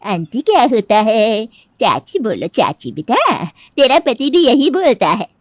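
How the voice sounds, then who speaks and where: Elderly aunty for a comic family tale woman acting: blunt, gossipy, quick to offence, unhurried. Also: on the high side, big pitch swings, dry recording, studio quality, full character voice. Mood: chatty, bossy. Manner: scolding, gossipy. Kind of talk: acted comic family story.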